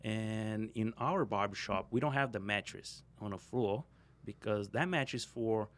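A man hosting a podcast, asking questions in a casual, halting way in a studio. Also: fairly low pitch, fairly bright tone. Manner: casual, halting, non-native phrasing. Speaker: podcast host. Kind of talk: casual interview chat. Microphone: studio mic on boom arm, headphones.

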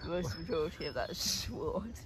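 A man, the host's son, chiming in with jokes and quick remarks on an outdoor walk. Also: a little high, animated. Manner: joking, quick interjections. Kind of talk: casual chat. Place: outdoors in winter woodland. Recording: wind noise on an outdoor mic.

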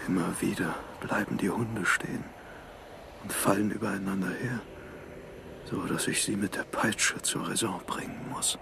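An actor playing a weary, driven polar explorer in a radio play, in a hushed inner monologue. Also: male voice, low-pitched, steady tone, normal speed, creaky, noisy background. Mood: strained.

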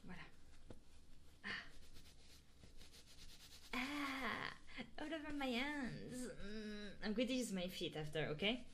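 A woman streamer doing ASMR and chat in a soft, intimate voice that often drops into whispers, close to the microphone. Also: a little high, animated, takes it slowly, long pauses, a crowd in the background. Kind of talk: ASMR roleplay and chatting livestream.

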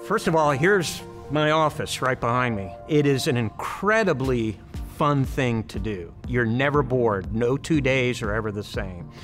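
A man's voice: mid-range voice, lively intonation, unhurried, fairly bright tone. Middle-aged man in an interview, music behind. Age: 50s. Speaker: aviation program director. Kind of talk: promotional interview. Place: large aircraft hangar.

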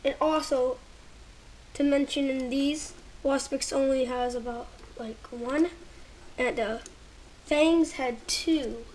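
A woman casually reviewing toys at home, halting and searching for words, sometimes dropping to a near whisper while handling pieces, recorded on a handheld camera held close. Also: sits high, steady tone, darkish tone, slight background noise, rough recording. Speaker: toy reviewer.

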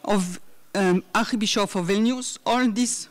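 A woman's voice: medium pitch, unhurried. A middle-aged woman giving a conference talk from notes at a university hall, hesitant with many fillers, speaking into a podium mic. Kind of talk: academic talk read from notes. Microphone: desk mic on a stand.

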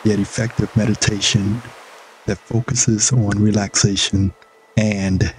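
A man with a fairly low voice leads a guided meditation as a scripted narration. He speaks very slowly, leaving long pauses between short instructional phrases. There is clear ambient noise behind his voice. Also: flat delivery, a little mellow.

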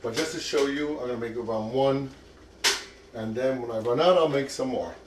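An older man talks his way through a recipe in a home kitchen, easygoing and encouraging as he works with his hands. His voice sits at an ordinary middle pitch. A faint hiss and the clink of pots and utensils sit behind him. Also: moderate pace. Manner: chatty, encouraging, talks while working. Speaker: cooking show host. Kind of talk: casual cooking demonstration.

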